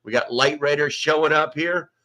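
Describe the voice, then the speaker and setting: American man host, bright rambling chat. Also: on the high side, normal speed. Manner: rambling, reads chat names aloud. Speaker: livestream host. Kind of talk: casual livestream chat. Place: sparse, nearly empty room. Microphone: small desk microphone.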